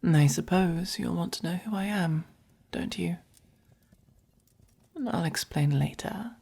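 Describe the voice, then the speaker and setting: Woman playing a whispery, low English vampire. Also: heavily acted. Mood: reassuring. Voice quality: breathy, whispery. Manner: slow, coaxing, gently commanding. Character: gentle, possessive, doting dominant vampire keeping a human pet. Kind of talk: soft-spoken ASMR roleplay.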